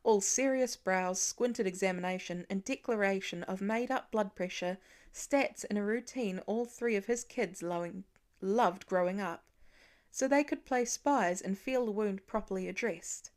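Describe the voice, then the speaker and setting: A woman reading a scripted story aloud as an expressive audiobook narrator, with a medium-pitched voice. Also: measured pace. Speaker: fan fiction audiobook reader.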